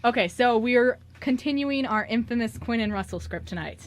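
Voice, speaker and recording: A woman's voice: on the high side, normal speed, a little hiss or hum. A woman hosting a radio show in casual group chat, explaining what comes next.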